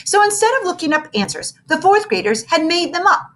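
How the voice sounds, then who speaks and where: A woman reading a children's book aloud as storyteller, with an expressive, theatrical delivery. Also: extremely high pitch, fairly quick. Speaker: story reader.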